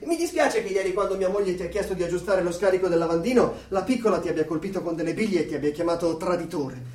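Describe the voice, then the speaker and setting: Actor as excitable high-voiced smug male neighbour. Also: fast, recorded from a distance, natural voice. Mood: smug, chatty. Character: chatty, smug male neighbour, zealous yet wavering party loyalist. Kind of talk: theatrical radio drama acting. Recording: roomy echo, off the microphone.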